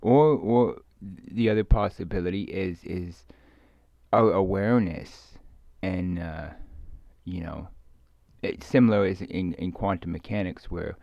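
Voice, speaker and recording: A man rambling casually in a hesitant, halting, musing way, often trailing off as he thinks aloud. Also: low-pitched, creaky, dry recording. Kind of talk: rambling casual monologue, ASMR roleplay. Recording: dull lo-fi close dry recording.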